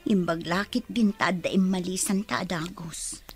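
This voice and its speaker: An actress playing a hurt, indignant young office worker betrayed by a married lover in an Ilocano radio drama. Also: female voice, on the low side, fairly even intonation, normal speed, soft background music, natural voice. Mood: uneasy, resentful.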